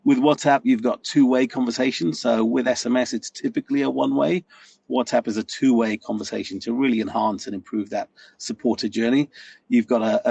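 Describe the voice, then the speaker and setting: A man with a lowish, gravelly, husky voice walks through slides for an online conference. He talks steadily and fills his pauses with many "uh"s. The sound is dry and a little distant from the mic. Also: darkish tone, dry recording. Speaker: webinar presenter.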